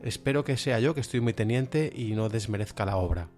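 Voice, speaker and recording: A man's voice: medium pitch, fairly quick, music in the background. A man with an accent from Spain, presenting an informal podcast review in a chatty, hesitant, self-deprecating way. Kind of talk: informal review of audio dramas.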